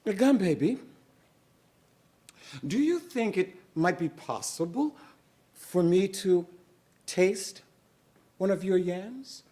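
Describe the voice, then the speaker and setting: A man voicing a prim, short-tempered woman with fussy, overly polite manners who is quickly exasperated. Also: sits high, lively intonation, warm tone, voice pushed up. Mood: sweetly polite.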